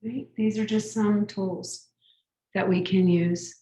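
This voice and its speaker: A woman guiding a meditation as a teacher, giving gentle, unhurried instructions with long pauses. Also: medium pitch, fairly even intonation. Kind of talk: guided meditation. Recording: very echoey room, distant mic.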